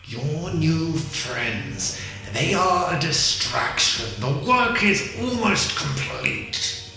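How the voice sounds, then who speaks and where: A man's voice: fairly high pitch, big pitch swings, extremely slow, vocal fry, bright, forceful tone, heavily acted. A man playing a cold, controlling otherworldly being in an audio drama, speaking in an ominous, commanding manner. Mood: sinister persuasion. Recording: very echoey, music underneath.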